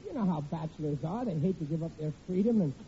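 An actor playing a hapless, evasive working-class American groom in 1940s radio comedy style, stammering and stalling with folksy grammar. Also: male voice, a little high, animated, fast talker, dark tone, close to an everyday voice. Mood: flustered evasive. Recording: muffled, faintly noisy old radio recording.

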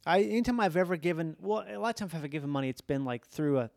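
A man's voice: fairly high pitch, very fast, gravelly, darkish tone, dry recording, clean studio sound. A man chatting casually as a podcast host, informal and reflective, swearing freely. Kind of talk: casual chat.

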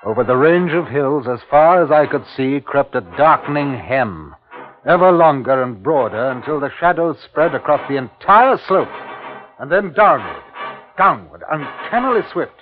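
Actor as grave, uneasy commissioner, tinny old radio. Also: male voice, on the high side, lively intonation, lightly acted. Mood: grave, awed. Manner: measured, grave, dramatic delivery. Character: uneasy, awestruck male colonial district commissioner recounting his ordeal. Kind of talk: 1950s radio drama acting and narration. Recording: tinny, band-limited 1950s radio recording, music behind.

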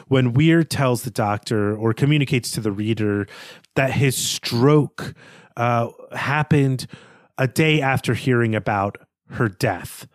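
A man's voice: mid-range voice, no room sound, clean studio sound. A man co-hosting a podcast, talking through a book in an analytical, conversational way. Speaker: podcast co-host. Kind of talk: literary discussion, conversational analysis.

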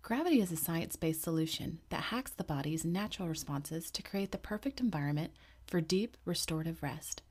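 A woman reading a scripted sponsor advertisement in a smooth, even promotional delivery. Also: low-pitched, fairly quick. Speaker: ad reader.

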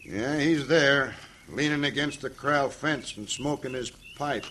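An actor playing a gaunt outlaw sidekick in an old-time radio Western, with folksy, rustic talk. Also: male voice, mid-range voice, measured pace, sharp tone. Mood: sly, agreeable. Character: Parson, a solemn, gaunt outlaw sidekick and willing accomplice. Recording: noisy vintage radio drama recording.

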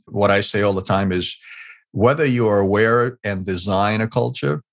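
A man's voice: lowish voice, moderate pace, vocal fry, dry recording. A middle-aged man from Hungary, a business author being interviewed, who talks earnestly with many fillers and repeated words. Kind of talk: conversational interview storytelling.

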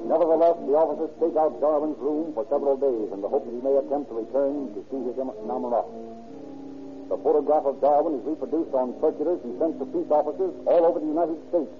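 A man's voice: on the high side, fast talker, pauses often, over music, lightly acted. A man as narrator of an old-time radio crime drama, delivering scripted lines in a formal, dramatic, declamatory way. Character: formal, urgent male crime-story narrator. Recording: tinny old radio recording.

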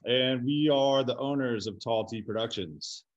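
A man's voice: medium pitch, measured pace, highs cut off. A man in his thirties chats easily about his early days, his middle-range voice slightly scratchy. He sounds like a guest on a podcast. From Colorado, USA. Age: 30s. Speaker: guest, business co-owner. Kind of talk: casual chat.

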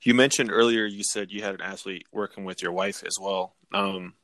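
A man with a low voice hosts a casual interview. The sound is dry, with very little room echo, and he phrases his questions conversationally. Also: fairly even intonation, normal speed, fairly bright tone. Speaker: interviewer, host.

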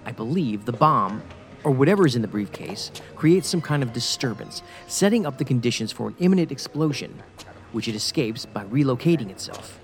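A man's voice: medium pitch, lively intonation, a little fast, close to an everyday voice. A man playing a stubborn, sardonic federal agent in a science fiction audio drama, speaking dryly and insistently. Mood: intent, dry. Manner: dry, insistent, sarcastic. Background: music.

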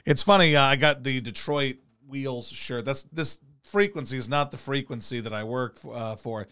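A hoarse man hosting a casual talk show, rambling in a loose, profane way and doing live ad reads. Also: medium pitch, moderate pace, sharp tone, no room sound. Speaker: radio host. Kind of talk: casual podcast chat and live ad read.

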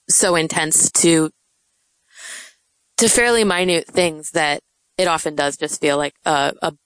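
A young woman poet with a soft voice, talking in a hesitant, reflective way in an interview. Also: low-pitched, dry recording, poor audio. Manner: soft-spoken, hesitant, many fillers. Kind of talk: casual interview chat.

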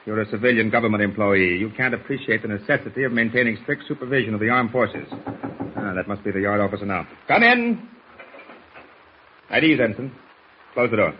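An actor playing a stern, exacting naval commander in an old radio serial, with a clipped, authoritative delivery. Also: male voice, fairly low pitch, animated, a little fast, natural voice. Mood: stern, probing. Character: stern, insistent male naval base commander, exacting officer. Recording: muffled old radio recording, faint noise.

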